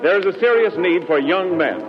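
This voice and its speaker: A man's voice: high voice, lively intonation, brisk, music in the background. A man working as a radio announcer, reading ads and announcements in 1940s radio style. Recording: muffled 1940s radio broadcast.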